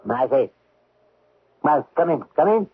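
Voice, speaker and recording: An actor playing a skeptical senior magistrate, dry, impatient and condescending, in a radio drama. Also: male voice, fairly high pitch, animated, a little slow, breathy edge. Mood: impatient, brusque. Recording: dull 1970s radio drama recording.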